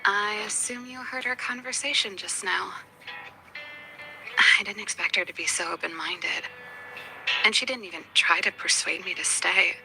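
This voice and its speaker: An actress voicing a spirited, wistful woman inventor and musician with a teasing big-sister manner in a video game, her phrases sometimes soft and hushed. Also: medium pitch, normal speed, creaky, pauses often, lightly acted, rough recording. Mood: reflective, nostalgic. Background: background music.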